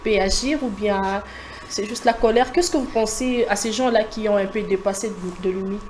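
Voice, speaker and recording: A woman's voice: mid-range voice, moderate pace, a little mellow. A woman hosting a conversational TV interview, putting questions to her guest. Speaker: talk show host interviewing a guest. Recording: clearly noisy background ambience.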